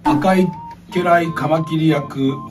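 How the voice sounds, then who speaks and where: 冗談交じりに気さくに話す、中年の男性ラジオ司会者。. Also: male voice, fairly high pitch, takes it slowly, recorded from a distance, loud music behind the voice. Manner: animated, joking. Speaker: radio host. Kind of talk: casual studio chat. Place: small radio studio.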